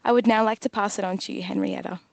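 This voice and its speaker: A woman hosting a webinar who reads a prepared introduction in a measured way. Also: medium pitch, fairly even intonation, vocal fry, no echo. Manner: measured, reading a prepared text. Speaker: webinar host. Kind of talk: scripted introduction.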